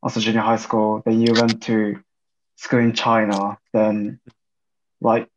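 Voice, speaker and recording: A man's voice: on the low side, monotone, measured pace, muffled recording, a little hiss or hum. Hesitant young man. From Japan. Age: young adult. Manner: hesitant, many fillers. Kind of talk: casual chat.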